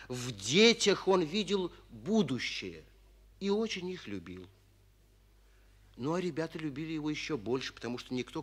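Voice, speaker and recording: An actor narrating a 1940s children's radio play as a kindly storyteller, expressively addressing young listeners. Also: male voice, a little high.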